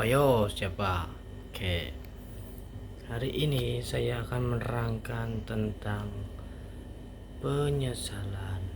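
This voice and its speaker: A man giving an informal language lesson as a teacher, explaining haltingly step by step with casual asides. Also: mid-range voice. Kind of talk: informal online grammar lesson. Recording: faint background noise.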